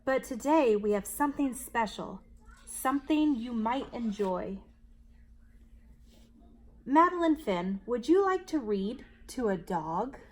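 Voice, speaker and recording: A woman's voice: a little high, lively intonation, slow. A woman voicing a friendly, encouraging female librarian in a children's storybook, with an inviting sing-song manner. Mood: cheerful, inviting.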